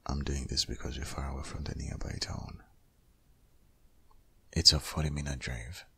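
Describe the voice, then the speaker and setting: A man playing a protective, worried boyfriend in an intimate ASMR audio roleplay, speaking insistently in a husky, half-whispered voice. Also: flat delivery, normal speed, pushed and bright, full character voice. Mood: firm, protective. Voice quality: very deep, gravelly, husky, often half-whispered.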